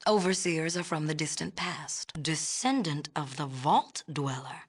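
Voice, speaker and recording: A woman's voice: low-pitched, a little slow, slightly rough, heavily acted. A woman playing a haughty, imperious leader of a city in a video game, speaking formally and precisely with a condescending manner. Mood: formal, wary. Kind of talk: video game character dialogue.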